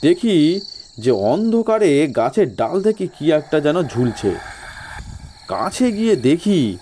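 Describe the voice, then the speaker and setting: Guy as sly village gent telling spooky warnings, mellow, acting reluctant, audio story, faint crickets behind. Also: a little high, big pitch swings, slow pace, a little hiss or hum. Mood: ominous warning. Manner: ominous, feigned reluctance, conversational. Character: sly local gentleman spinning ominous ghost warnings.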